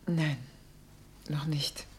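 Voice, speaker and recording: A woman's voice: low voice, flat delivery, extremely slow. An actress in a German radio play, playing a worried, reserved middle-class wife and mother with a formal, guarded manner. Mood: subdued, weary. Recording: noisy old radio play recording.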